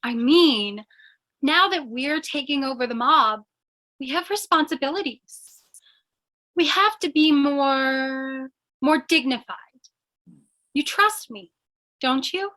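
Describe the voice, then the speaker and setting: A woman's voice: high-pitched, expressive, slow pace, pauses often, pushed and bright, full character voice, poor audio. A woman playing a plucky, mock-dignified lady gang boss in an old-time radio play, theatrical and coy. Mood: prim, earnest.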